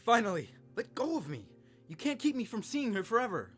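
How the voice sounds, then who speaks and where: Loud, swooping, angry boyfriend. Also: male voice, very high voice, huge pitch swings, brisk, soft background music, exaggerated voice. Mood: angry, demanding. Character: angry, possessive, demanding boyfriend of a patient. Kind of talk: audio drama acting.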